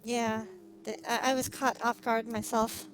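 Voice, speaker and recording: Female game streamer, fairly high voice, slow casual chat with hesitant pauses, some background noise. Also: little pitch movement. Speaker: livestreamer, vtuber. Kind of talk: casual livestream chat while gaming. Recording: clearly noisy, humming background.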